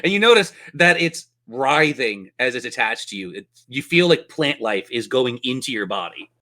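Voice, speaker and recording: Bright-voiced man running a tabletop game. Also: mid-range voice, animated, moderate pace. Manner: vivid, playful descriptions. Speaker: game master. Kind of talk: narrating a tabletop fantasy game.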